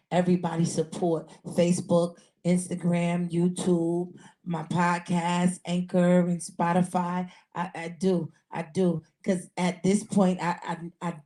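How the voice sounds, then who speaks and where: Woman, raspy voice, podcast host, slow talker, repeats herself, handheld mic. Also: fairly low pitch, dark tone. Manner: repetitive, earnest, many restarts. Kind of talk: casual live podcast talk.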